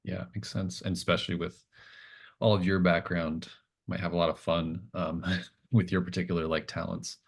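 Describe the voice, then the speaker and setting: A man's voice: deep voice, flat delivery, normal speed, gravelly, husky. A man acting as game master, improvising tabletop narration in a hesitant, thinking-aloud manner.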